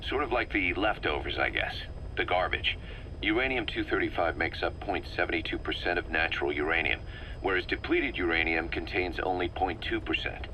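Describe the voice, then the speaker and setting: An actor playing a serious military second-in-command in a video game, giving matter-of-fact explanatory briefings. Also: male voice, deep voice, normal speed, sharp tone, slight background noise, poor audio. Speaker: military deputy briefing his boss.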